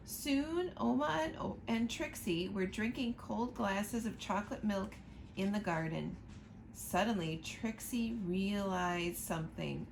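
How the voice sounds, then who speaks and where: A woman reading a picture book aloud to children, slow and expressive, pointing out the pictures as she goes. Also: mid-range voice, vocal fry, slight background noise. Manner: slow, expressive storytime reading, asking listeners to look at pictures.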